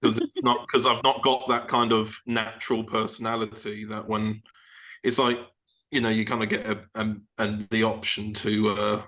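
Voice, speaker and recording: A man's voice: on the low side, level tone, moderate pace, bright, forceful tone, rough recording. A man speaking as a voice actor and podcast guest in a casual group chat, hesitant and often restarting his words.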